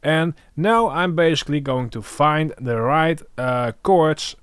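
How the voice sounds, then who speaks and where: Slow-paced man, dry close sound. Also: on the high side, clean tone, no room sound. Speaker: music production tutorial host. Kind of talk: casual tutorial, thinking aloud.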